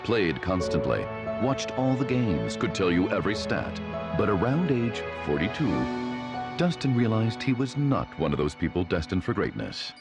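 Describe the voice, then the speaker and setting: A man voicing a radio commercial, telling a short story in a dry, deadpan way. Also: moderate pace. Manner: deadpan comic delivery with deep drops in pitch. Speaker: commercial voice-over. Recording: studio voice-over under loud music.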